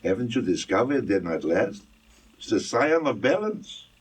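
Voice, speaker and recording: A man's voice: medium pitch, big pitch swings, moderate pace, slightly rough. A man playfully laughing through flubbed game dialogue in studio outtakes. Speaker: voice actor in outtakes. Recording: distant, noisy archive clip.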